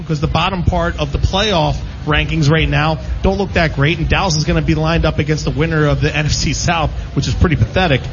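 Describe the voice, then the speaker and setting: A man hosting a casual sports panel show, talking in a rambling, opinionated way. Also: on the high side. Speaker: sports radio show host. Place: live broadcast from a casino. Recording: muffled, clearly noisy live venue recording.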